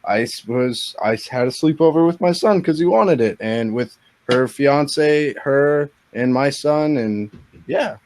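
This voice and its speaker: A man's voice: medium pitch, measured pace, a little mellow. A twenty-year-old man in a casual group chat, talking in an earnest, rambling, reflective way as a host.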